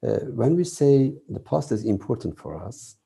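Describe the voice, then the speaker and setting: Man, novelist guest, english, halting with fillers, very dark voice, husky. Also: mid-range voice, very animated. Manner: thoughtful, many pauses and "uh" fillers. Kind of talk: reflective interview answers.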